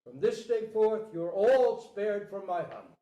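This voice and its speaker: A man playing a proud, regal human king in a storybook parable, declamatory and drawn-out, humbled by what he hears. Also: high voice, expressive, dark tone, far from the mic, pitched above the performer's own voice. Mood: humbled, grand. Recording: roomy, echoing live recording.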